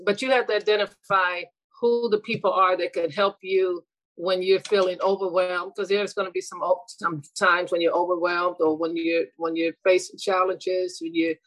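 A woman's voice: lowish voice, flat delivery, normal speed, no echo, poor audio. Earnest middle-aged woman, dry sound. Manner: earnest, reflective, with frequent restarts and soft laughs. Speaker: retired educator and school administrator, guest. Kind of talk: casual interview conversation.

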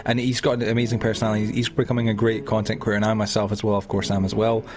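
A man's voice: fairly low pitch, level tone, racing. A Scottish man from Edinburgh hosting a casual podcast chat over an online call, rambling with lots of restarts and fillers. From Scotland. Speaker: podcast host.

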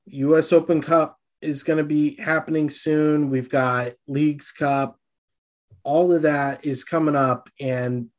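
A man co-hosting a sports podcast, talking in an earnest, rallying way about the coming matches. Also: on the high side. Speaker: podcast co-host. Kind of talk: casual sports chat.

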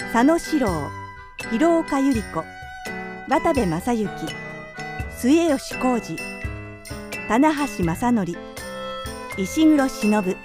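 Female announcer, scripted credit read: unhurried, measured, formal, music bed. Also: on the high side. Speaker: radio announcer and narrator.

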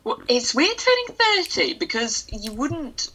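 Woman, thirties, bright clear voice, thoughtful, musing. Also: sits high, sing-song, measured pace, rough recording. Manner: thoughtful, muses out loud. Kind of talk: casual podcast chat about a film.

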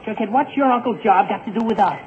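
High, exasperated teen boy played by a man, boyish slang, old radio sitcom, hissy narrow-band sound. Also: fast, natural voice. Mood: puzzled. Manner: whiny, flustered, exclaiming in boyish slang. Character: exasperated, fretful teenage boy, anxious about being late. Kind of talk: 1940s radio comedy acting. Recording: scratchy, tinny 1940s radio broadcast, noisy.

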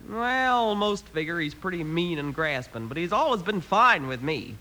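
A woman's voice: fairly low pitch, big pitch swings, moderate pace, sharp tone, slight background noise. An actress playing a plainspoken, worried woman rancher in an old-time radio western. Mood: earnest, worried. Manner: folksy, homespun. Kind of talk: 1950s radio western acting.

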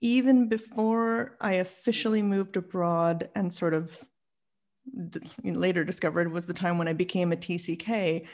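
A woman's voice: lowish voice, fairly even intonation, moderate pace, a little mellow. A woman in her forties with a Canadian accent, telling personal stories casually and chattily as a podcast guest. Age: 40s.

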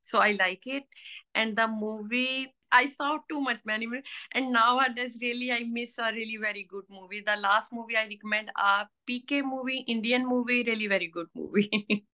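Bright-voiced Pakistani woman, halting English. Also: on the high side. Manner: halting non-native English with frequent laughs. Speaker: guest. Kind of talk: casual interview.

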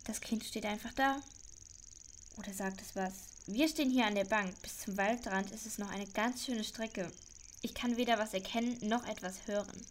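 A woman's voice: mid-range voice, steady tone, normal speed, slight background noise, natural voice. A woman playing an eager, talkative child detective in a children's audio drama, reasoning aloud with emphasis. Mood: excited.

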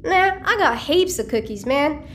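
A quirky teenage hacker girl, speaks in a high voice that swoops with cheeky energy. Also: animated, a little slow, a little hiss or hum. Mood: friendly, teasing. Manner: cheeky, chatty, slangy. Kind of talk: audio-fiction drama dialogue.

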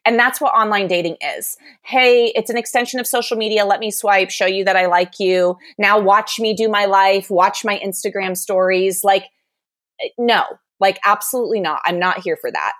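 A woman's voice: mid-range voice, normal speed, a bit bright, dry recording, studio quality. A young adult woman telling a candid, exasperated dating story with lots of "like" in a casual chat.

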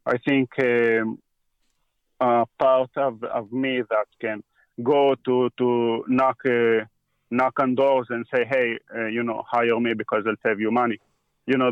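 A man from Israel speaking English with an Israeli accent in a casual interview, talking slowly with many pauses and restarts. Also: medium pitch, little pitch movement, smooth, no echo, narrow, tinny audio. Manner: halting, with frequent pauses and restarts. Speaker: guest. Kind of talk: casual conversation.

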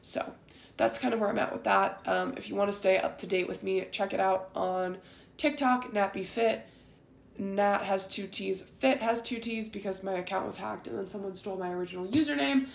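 Woman, 20s, podcast host: raspy, gravelly, rambling and candid, recorded in an echoey room. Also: medium pitch, normal speed. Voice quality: raspy and groggy. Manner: candid, rambling, with frequent ums and likes. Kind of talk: casual solo ramble.

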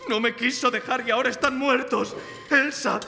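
A man's voice: very high-pitched, fairly even intonation, normal speed, pushed and bright, exaggerated voice. A man playing a vengeful, grief-crazed German submarine officer in live radio theatre, raving theatrically with taunting questions. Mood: furious anguish. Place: performed before an audience in a library. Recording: reverberant live stage recording with music.

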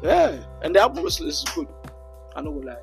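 Relaxed young podcast host. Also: male voice, sits high, fairly quick, vocal fry, soft background music. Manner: relaxed, easygoing, drops local slang. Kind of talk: casual chat.

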